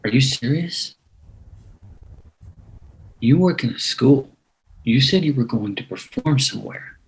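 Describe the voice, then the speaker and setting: A man's voice: medium pitch, animated, a little slow, low-quality recording. An actor reading an apologetic, defensive separated father at a table read. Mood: pleading.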